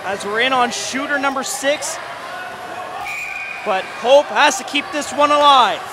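A man calling play-by-play for a hockey shootout, rising to shouted goal calls while his voice is going. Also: very high voice, unhurried. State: losing his voice. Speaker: play-by-play commentator. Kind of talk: excited sports commentary. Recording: crowd and arena noise behind.

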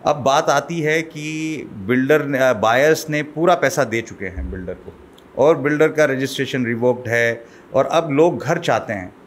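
Middle-aged man explaining at measured pace. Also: mid-range voice, slight background noise. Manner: measured, explaining, code-mixes English terms. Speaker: legal expert guest. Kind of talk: explanatory studio interview. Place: small studio set. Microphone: desk mic on boom arm.

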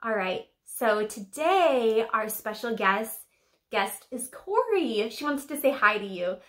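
A young woman with a fairly high voice speaks to children as their church teacher. She talks simply and chattily, often turning to them with questions, in a small indoor room. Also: big pitch swings, takes it slowly, a bit bright. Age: young adult. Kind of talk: children's Sunday school lesson to camera.

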